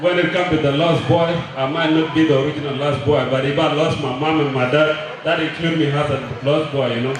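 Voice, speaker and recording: A man's voice: a little high, steady tone, a little fast, noisy background. A man from South Sudan, a singer at a community event, talking and singing loosely to the crowd on stage. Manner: shouting to a crowd, loose and hyped. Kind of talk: live stage talk and singing. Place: large echoey hall at a live event. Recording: echoey hall from far off, loud music and crowd.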